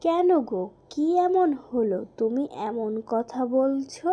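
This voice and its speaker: A woman voicing a caring, worried housewife and mother in a cartoon, with a gentle, coaxing manner. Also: high voice, lively intonation, takes it slowly, full character voice. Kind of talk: cartoon voice acting.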